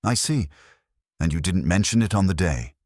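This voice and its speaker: A man as male police inspector, very deep, mild, courteous, unhurried, lively inflection, mystery storytelling. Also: dry recording, studio quality. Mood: mild, unfazed. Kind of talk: dramatised audiobook-style mystery storytelling.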